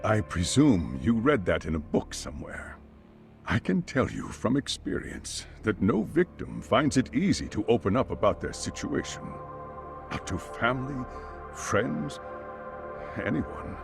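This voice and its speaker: A man's voice: fairly low pitch, sing-song, measured pace, vocal fry, full character voice. An actor playing a formal, careful male school chairman in a video game drama. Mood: grave, cautioning. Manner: polite, careful, formal phrasing. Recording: music behind the voice.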